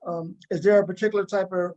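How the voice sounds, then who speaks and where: A male interviewer with a high-pitched voice chats casually on a video call. He hesitates and circles back over his own words as he puts questions. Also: steady tone, quick pace, muffled recording, background noise. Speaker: interviewer, show host.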